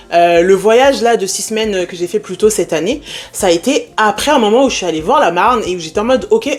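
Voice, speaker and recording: Young French woman, casual vlog host, lively and expressive, mid pitch. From France. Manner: lively, animated, mixes in English words. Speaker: spiritual content creator. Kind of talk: casual talking-to-camera vlog.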